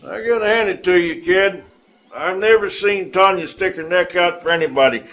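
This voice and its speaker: An actor playing a folksy, gruff, confident American army general in a game cutscene, talking informally. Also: male voice, high voice, fairly bright tone. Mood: hearty. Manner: folksy, informal, drawn-out hesitations. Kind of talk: dramatic game cutscene acting.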